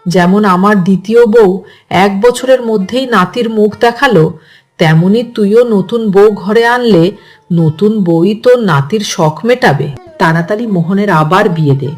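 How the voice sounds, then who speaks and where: A woman's voice: mid-range voice, moderate pace, darkish tone, faint music behind, natural voice. A woman voicing a gossipy, scheming older grandmother in a cartoon story, with a chatty, pushy, persuasive manner. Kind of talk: Bengali cartoon story dialogue.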